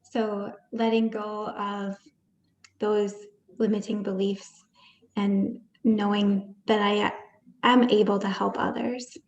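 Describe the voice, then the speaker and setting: Slow-speaking woman in a roomy space. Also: mid-range voice, level tone, a little mellow, lo-fi sound, slight background noise. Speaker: webinar attendee. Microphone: video call.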